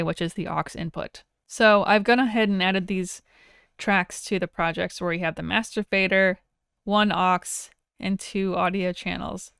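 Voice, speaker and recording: A woman narrating an informal software tutorial in a plain-spoken, explanatory way. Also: medium pitch, smooth, no room sound, clean studio sound. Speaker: audio software instructor.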